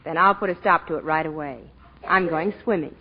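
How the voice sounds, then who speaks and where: A woman playing a self-possessed, alluring, willful young society woman in 1940s radio drama, with an American accent and a cool, teasing, husky delivery. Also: low-pitched, fairly quick. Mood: teasing, challenging. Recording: dull, muffled 1940s radio recording.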